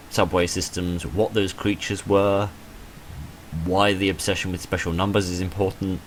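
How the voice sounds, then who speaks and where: A man giving casual game commentary as a let's-player, in a measured, understated, mildly hedging manner. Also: low-pitched, slight background noise, low-quality recording. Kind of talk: casual game playthrough commentary, reading text aloud and reviewing.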